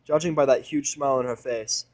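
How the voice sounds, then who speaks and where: A man's voice: medium pitch, fairly even intonation, fairly quick. A man reading a first-person story aloud as dramatic narration for a fan audio drama.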